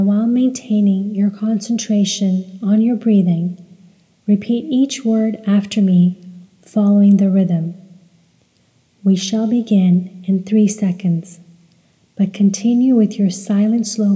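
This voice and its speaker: A woman leading a guided meditation in a measured, deliberate, instructional way, with some recitation. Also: mid-range voice, steady tone, warm tone. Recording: long hall-like reverb.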